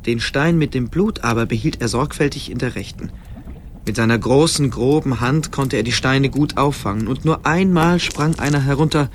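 An actor narrating a fantasy radio play as a grave, calm storyteller with measured suspense. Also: male voice, medium pitch, slight background noise, natural voice.

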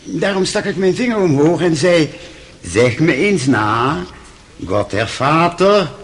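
Old German doctor, kindly, forgetful, husky voice, halting and sentimental, radio play. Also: male voice, a little high, expressive, exaggerated voice. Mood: excited, fond. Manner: halting, searching for words, sentimental. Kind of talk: radio drama acting.

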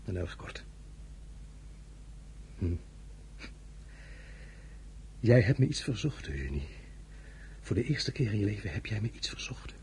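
An actor playing an imperious, ambitious French ruler in a Dutch radio play, speaking with a Dutch accent, commanding and then softly condescending. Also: male voice, on the low side, big pitch swings, unhurried, pauses often, a little hiss or hum. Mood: intimate, brooding. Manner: commanding, shifting to soft intimate condescension.

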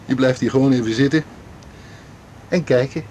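A man's voice: medium pitch, moderate pace, darkish tone, slight background noise, close to an everyday voice. An actor playing a kind, reassuring male colleague in a radio drama, talking casually in brief phrases with a Netherlands Dutch accent. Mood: reassuring, easygoing.

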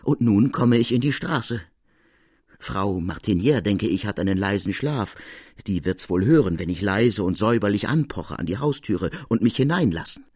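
Mann spielt aufgeregten, verängstigten treuen Diener. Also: male voice, lowish voice, animated, normal speed, a little mellow, breathy edge, no echo, studio quality. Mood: agitated. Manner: agitated, breathless storytelling, urgent. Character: honest frightened servant man, pale and distraught. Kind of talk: dramatic audiobook character reading.

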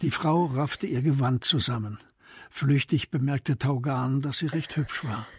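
A man narrating a crime radio play as scripted literary storytelling, in a measured manner. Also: medium pitch, slightly rough, no echo, studio quality.

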